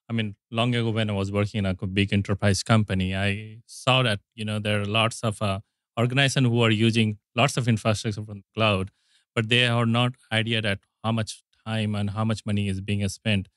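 A man's voice: lowish voice, no room sound, clean studio sound. A man in his forties hosting a business interview, asking loose, conversational questions with filler words. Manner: conversational, loose grammar, "you know" fillers. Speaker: podcast host, company co-founder. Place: conference podcast studio set, indoors. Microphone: studio mic on boom arm, wearing headphones.

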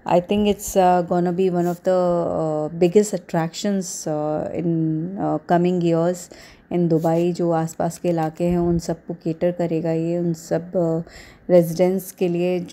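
A woman in her thirties with an Indian accent, narrating a casual vlog in a halting, hesitant way with repeated filler phrases. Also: lowish voice, warm tone. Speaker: vlogger.

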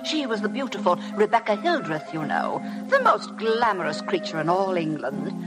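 A woman's voice: medium pitch, big pitch swings, moderate pace, natural voice, low-quality recording. An actress playing a pushy, gossipy, condescending older society woman in a 1950s radio drama. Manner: gushing, condescending. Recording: old radio broadcast with music.

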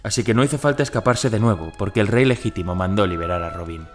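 An actor playing a loyal outlaw companion who recounts a legend in a measured way in an audio drama. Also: male voice, lowish voice, soft background music, close to an everyday voice. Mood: reflective. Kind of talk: dramatized audio fiction acting.

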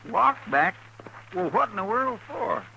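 An actor playing a folksy, deferential, grumbling deputy sidekick with a rural Western American drawl in a 1950s radio western. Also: male voice, extremely high pitch, lively intonation, a little slow, creaky, full character voice. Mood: puzzled, complaining. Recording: noisy vintage 1950s radio recording.

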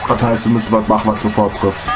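A man's voice: on the low side, flat delivery, quick pace. A young man co-hosting a let's play, grumbling dryly about strategy. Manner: dry, grumbling strategy talk. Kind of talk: casual gaming banter. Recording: loud game music over voice. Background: loud game music.

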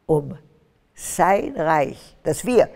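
Older woman, fervent preacher type, gravelly tone, hall reverb. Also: deep voice, very animated, extremely slow, a little mellow. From Austria. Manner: fervent, preaching, rising to emphatic bursts. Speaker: guest. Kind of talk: testimony interview on stage. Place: stage with curtains, large hall.

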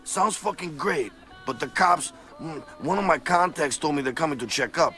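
A man's voice: fairly high pitch, normal speed, bright, forceful tone, soft background music. An actor playing a nervous male pawn shop owner who curses freely. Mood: anxious.

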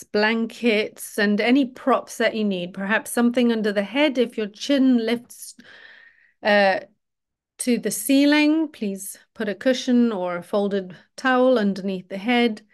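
Slow-paced, higher-pitched woman guiding relaxation. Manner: instructional, gently directive. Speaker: yoga nidra teacher. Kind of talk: guided relaxation meditation.

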